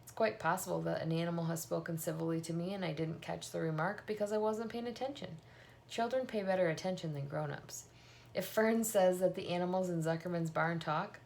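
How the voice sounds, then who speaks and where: A woman voices a wise old country doctor from a children's storybook. The voice is on the low side with a creaky edge, unhurried and thoughtful, gently posing questions. There is faint noise behind it.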